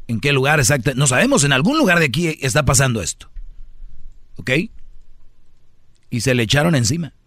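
A man's voice: mid-range voice, very animated, fairly quick, long pauses, fairly bright tone, no echo, clean studio sound. Blunt Mexican male radio host. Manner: blunt, challenging, rhetorical questions. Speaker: radio talk show host. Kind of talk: conversational call-in radio talk. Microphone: close studio microphone.

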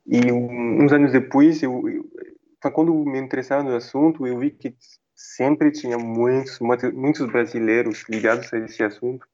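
Halting French man, dull lo-fi sound. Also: mid-range voice, fairly even intonation, a little mellow, a little hiss or hum. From France. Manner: hesitant, halting, many restarts and fillers. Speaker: guest. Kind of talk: casual interview chat.

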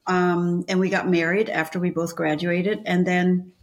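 A middle-aged woman from Denmark chatting as a podcast guest, her voice sitting a little low. She rambles easily, full of fillers and restarts. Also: little pitch movement, measured pace. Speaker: guest, leadership coach. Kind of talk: casual interview chat.

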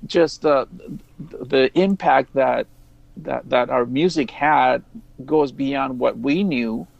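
A man speaking as an interview guest, reflective and earnest, in casual, halting sentences. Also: medium pitch, creaky, no echo, highs cut off.

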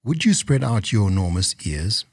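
A man voicing a weary, stern old African chief in a storybook, with a husky voice. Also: lowish voice, big pitch swings, moderate pace, no echo, studio quality, pitched below the performer's own voice, exaggerated voice. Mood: weary, complaining. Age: older. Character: tired, aggrieved old African chief with magic. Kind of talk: storybook character voice.

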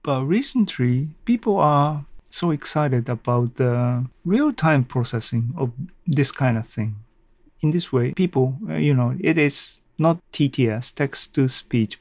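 A man speaks slowly in a casual solo podcast style. His voice has a noticeable creak, and the sound is close and dry. He feels his way through sentences haltingly, as if thinking aloud. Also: medium pitch, a little mellow, no echo. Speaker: podcast host. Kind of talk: casual unscripted solo talk.

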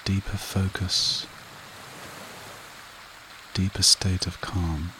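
A man leading a guided meditation and hypnosis in a soothing, repetitive manner with short phrases and long pauses. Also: sits low, slight background noise. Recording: rain and running water behind voice. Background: steady rain and stream sounds.

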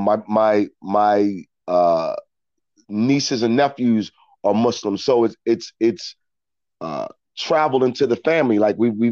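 A middle-aged American man telling reflective personal stories as an interview guest, in a halting way, often repeating words as he thinks. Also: lowish voice, a little mellow, dry recording, lo-fi sound. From Philadelphia, United States. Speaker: interview guest, actor and storyteller. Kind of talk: reflective personal storytelling in conversation.

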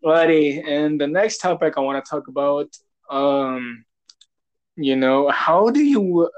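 Brief: young guy, first-time podcast host, rambly and giggly, fairly high voice with cracks. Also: expressive, takes it slowly, muffled recording. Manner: rambling, filler-heavy, laughing. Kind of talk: casual chat.

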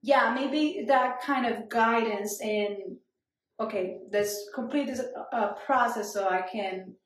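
Interview guest: woman, 40s, Colombian accent, reflective, slightly high voice, heavy room echo. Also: takes it slowly. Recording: very echoey room.

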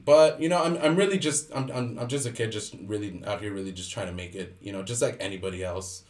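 A man's voice: on the low side, quick pace, darkish tone. A man in his thirties, born in Mexico and raised in Los Angeles, chatting casually as a podcast guest, laid-back and slangy with lots of fillers. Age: 30s. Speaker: guest entrepreneur. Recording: roomy sound, off-mic.

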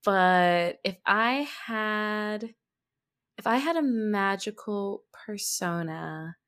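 Playful woman podcast guest, expressive casual chatter, medium pitch. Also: very slow, clear, resonant tone. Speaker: podcast guest, tabletop roleplay performer. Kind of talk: casual chat.

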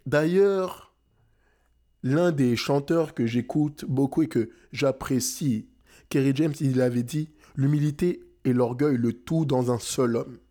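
A man's voice: mid-range voice, slow, warm tone. A young man from France giving a rousing motivational talk, emphatic and dropping English phrases into his French. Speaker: motivational speaker.

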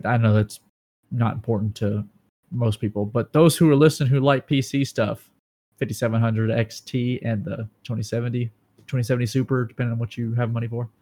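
A man co-hosting a casual gaming news podcast, talking excitedly about PC hardware and joking with his co-host. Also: mid-range voice. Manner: excitable, breaks into dramatic movie-quote impressions.

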